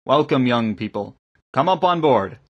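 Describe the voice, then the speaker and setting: Man playing a hearty, husky river preacher. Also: mid-range voice, expressive, moderate pace, muffled recording. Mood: eager, hospitable. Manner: hearty, welcoming, gently correcting. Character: kindly, eager male river engineer and lay preacher. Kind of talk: audiobook character acting.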